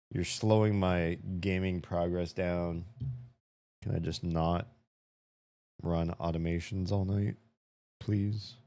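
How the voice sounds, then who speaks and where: A young man giving casual, profane running commentary while playing a video game, his voice slightly hoarse. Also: deep voice, a little mellow. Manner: chatty, swears a lot, talks to himself while playing.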